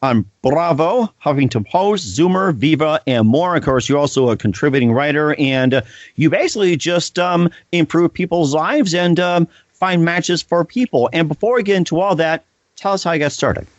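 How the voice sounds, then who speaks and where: A man's voice: fairly high pitch, moderate pace, dry recording, lo-fi sound. A man hosting an interview show, enthusiastic and showman-like, with plenty of ums and uhs. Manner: enthusiastic, showman-like, with many fillers. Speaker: podcast host.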